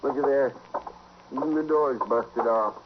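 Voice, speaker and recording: An actor playing an earnest, deferential frontier deputy with a folksy rural Western American drawl in a 1950s radio western. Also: male voice, mid-range voice, measured pace, close to an everyday voice. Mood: alarmed. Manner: folksy, fretful, respectful. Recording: muffled, noisy vintage radio broadcast.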